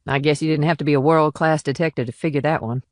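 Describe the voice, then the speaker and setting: A no-nonsense female small-town cop, played by a woman, gives guarded, blunt answers in a lowish voice. It is audiobook dialogue with a dry, close sound. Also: steady tone, fast talker, darkish tone, no echo, natural voice.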